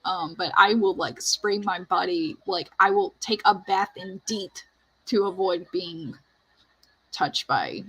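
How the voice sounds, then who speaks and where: A woman's voice: fairly low pitch, slow pace, pushed and bright, breathy edge, poor audio. A woman hosting a casual writing livestream, talking in a chatty, informal way full of "like". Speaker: livestream host.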